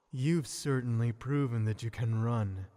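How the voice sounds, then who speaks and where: A man playing a sadistic, possessive vampire in an ASMR roleplay, speaking in a taunting, condescending, deliberate way. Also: medium pitch, natural voice. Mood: menacing, coaxing. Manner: taunting, condescending, slow and deliberate with soft chuckles. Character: sadistic, possessive male vampire toying with human captive.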